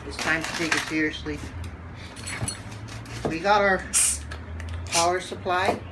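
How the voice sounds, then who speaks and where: A stuffed-up vlogger with a small cold hosts a relaxed unboxing, talking slowly and pausing often on fillers. The voice sits in a middle register and is heard over steady background noise. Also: medium pitch. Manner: halting, lots of fillers, chats to the dogs; slow, laid-back. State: congested from a cold. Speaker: channel host unboxing a computer. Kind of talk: casual unboxing vlog.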